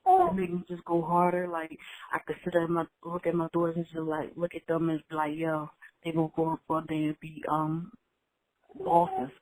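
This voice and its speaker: A woman rapper from Philadelphia with a Philadelphia accent, answering interview questions in a laid-back, halting, plain-spoken way. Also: low voice, a little mellow, dry recording, low-quality recording. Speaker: rapper guest. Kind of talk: casual interview.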